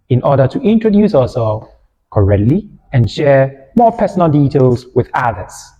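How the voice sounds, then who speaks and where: A man giving a scripted beginner language lesson as a tutor, in a deliberate instructional manner, repeating phrases. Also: medium pitch, darkish tone. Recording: long hall-like reverb.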